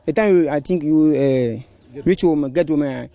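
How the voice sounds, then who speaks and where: A man with a Ghanaian accent, interviewed outdoors on a handheld mic, halting and restarting as he talks. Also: a little high, mellow. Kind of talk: street interview. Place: outdoors by a roadside. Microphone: handheld reporter mic.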